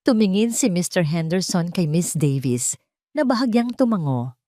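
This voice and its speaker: A woman with a Filipino accent giving a dramatic scripted story narration in solemn, deliberate phrases. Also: fairly low pitch, lively intonation, dark tone, no room sound, clean studio sound.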